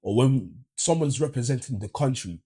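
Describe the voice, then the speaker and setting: Man, London accent, slightly husky, animated, emphatic, casual group talk. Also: mid-range voice, normal speed. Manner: animated, emphatic, keeps asking do you know what I mean. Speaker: panel guest. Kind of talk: casual discussion.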